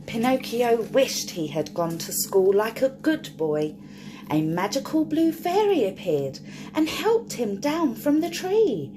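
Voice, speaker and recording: A woman's voice: on the high side, sing-song, takes it slowly, background noise. A woman reading a picture book aloud expressively for young children, drawing out key words and speaking to the listeners. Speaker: children's storyteller.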